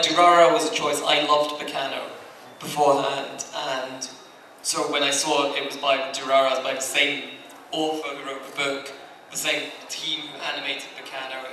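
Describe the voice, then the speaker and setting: A man's voice: a little high, bright, forceful tone, recorded from a distance. A man speaking conversationally and discursively on a convention panel as an anime licensing executive. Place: large indoor convention panel room. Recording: very echoey room, off mic, faint noise.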